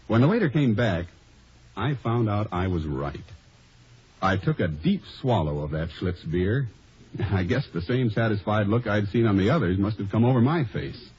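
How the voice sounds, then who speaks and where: A man's voice: fairly low pitch, animated, normal speed, breathy edge. An actor playing a shy research man and lone traveler, telling his story conversationally in a vintage radio advertisement. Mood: satisfied. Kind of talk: scripted first-person radio advertisement storytelling. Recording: dull vintage radio recording.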